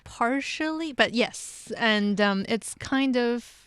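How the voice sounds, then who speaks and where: A woman's voice: a little high, lively intonation, takes it slowly, a bit bright, clean tone, no echo, clean studio sound. A woman co-hosting a casual podcast chat, speaking in an enthusiastic and reflective way. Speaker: podcast co-host. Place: podcast studio.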